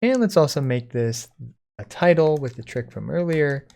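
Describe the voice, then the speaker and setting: A man giving a casual software code-along, thinking aloud informally as he types. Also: fairly high pitch, lively intonation, normal speed, creaky. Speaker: software tutorial instructor.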